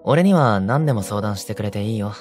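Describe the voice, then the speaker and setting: A man playing a considerate young delinquent, the gang's calm, reassuring peacemaker, in a romantic audio drama. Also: on the low side, a little fast, soft background music, natural voice. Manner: gentle, reassuring.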